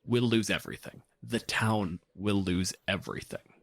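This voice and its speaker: A man voicing an anxious, businesslike dwarf bank boss who speaks plainly and gravely in a tabletop game. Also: fairly low pitch, normal speed, no room sound, full character voice. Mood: grave, worried. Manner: hushed, grave, plain-spoken.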